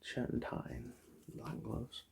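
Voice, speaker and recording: A man speaking in a soft, breathy half-whisper with a husky, gravelly edge, gently reassuring and chatty as he plays an eye examiner in an ASMR roleplay. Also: takes it slowly.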